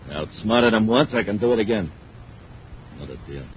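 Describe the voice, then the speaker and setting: An actor playing a boastful, tough, scheming space crook in a 1950s radio adventure. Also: male voice, on the low side, lively intonation, fairly quick, close to an everyday voice. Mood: defiant. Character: cocky, scheming male space crook boss. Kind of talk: 1950s radio villain acting. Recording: dull, faintly noisy 1950s radio recording.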